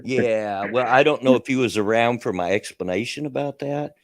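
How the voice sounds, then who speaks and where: A man's voice: medium pitch, normal speed, no room sound. A middle-aged man with a Kentucky and Tennessee redneck accent, hosting a casual livestream and rambling with a self-deprecating laugh. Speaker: livestream host.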